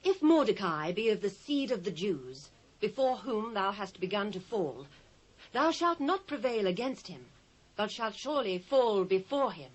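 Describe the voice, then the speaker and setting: A woman's voice: medium pitch, very animated, a little slow, rough recording. A British woman playing a stern courtier's wife in a scripture audio drama, declaiming ominously. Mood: grave warning.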